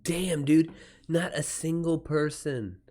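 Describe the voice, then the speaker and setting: A man's voice: a little high, takes it slowly, dark tone. Casual, slangy man on a livestream. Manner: informal, frequent swearing, slangy; rambling, retells exchanges in quoted back-and-forth. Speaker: author livestreaming and chatting with viewers. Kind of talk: casual livestream chat with anecdotes.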